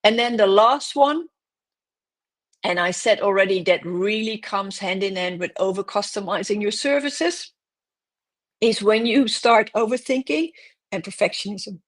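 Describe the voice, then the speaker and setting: A woman's voice: mid-range voice, unhurried. A woman giving direct, emphatic business advice in a solo talk, correcting herself now and then and losing her voice. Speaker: host, business coach.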